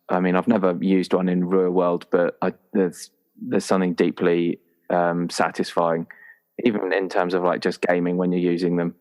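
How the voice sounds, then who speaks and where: A man chatting casually and playfully as a tabletop roleplaying player, enthusiastic and prone to ramble. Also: sits low. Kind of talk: casual chat about a game character.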